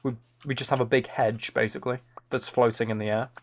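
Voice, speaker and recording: A man with a mid-pitched voice talks casually to his live stream viewers while gaming. His delivery is dry and playfully mock-serious. There is noticeable noise behind him, with keyboard and mouse clicks. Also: steady tone, brisk.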